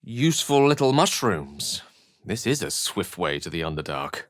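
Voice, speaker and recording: A learned wizard from a fantasy game, lowish dry sound, articulate, kinda theatrical and wry. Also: male voice, sing-song, normal speed, dry recording. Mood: musing, wry. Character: learned, wry, eloquent male human wizard companion. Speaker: video game voice actor. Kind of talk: fantasy game character lines and narration.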